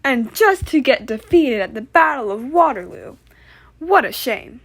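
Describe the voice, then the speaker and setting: A woman puts on a self-important little emperor in a comedic puppet show. The voice is high and very animated. The manner is boastful, indignant and quick to take offense. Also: breathy edge, heavily acted. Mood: aggrieved. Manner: pompous, indignant, sarcastic. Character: touchy, boastful emperor Napoleon as talk-show guest.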